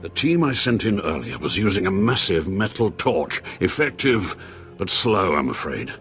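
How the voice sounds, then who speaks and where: An actor playing a grave, anxious Sith lord scholar with a formal, theatrical manner and husky voice in a video game. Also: male voice, low voice, sing-song, normal speed, a bit bright, soft background music. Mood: grave, ominous. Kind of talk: video game cutscene acting.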